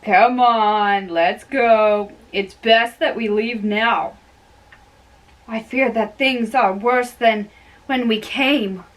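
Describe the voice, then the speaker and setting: A woman playing a lovestruck, earnest young man in an amateur dramatic reading of Shakespeare, delivered in a halting, deliberate way. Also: fairly high pitch, fairly even intonation. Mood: dismayed.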